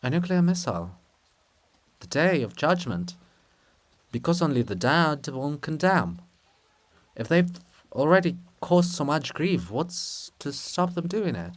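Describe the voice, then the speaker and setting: A man voicing an anguished old woman, the statue of a queen, in a slow, lamenting dramatic reading. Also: a little high, very animated. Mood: anguished dread. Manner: slow, mournful, lamenting delivery. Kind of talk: dramatic reading of a stage play.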